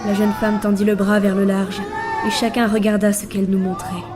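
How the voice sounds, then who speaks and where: A woman's voice: mid-range voice, flat delivery, brisk, reverberant, big-room sound, crowd noise, natural voice. A woman playing the Ankou, death's supernatural servant, with composed, dry and sardonic delivery in a French audio fiction. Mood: composed, urgent. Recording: music under the voice.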